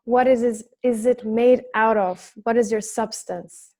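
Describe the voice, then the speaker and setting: A woman's voice: a little high, steady tone, a little slow, darkish tone. Woman interviewer rephrasing her questions.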